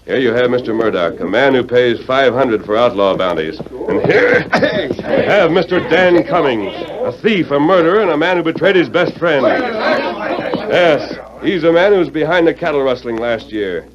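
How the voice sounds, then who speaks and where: An actor playing a masked cowboy hero, commanding and just, in 1940s radio western style. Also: male voice, medium pitch, animated, normal speed, crowd noise, close to an everyday voice. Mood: forceful, commanding. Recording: dull, noisy 1940s radio recording.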